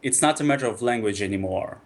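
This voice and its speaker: A man's voice: medium pitch, fast. Earnest man. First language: Russian. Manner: earnest, hesitant with many fillers. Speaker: caller from Ukraine. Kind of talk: radio phone-in debate.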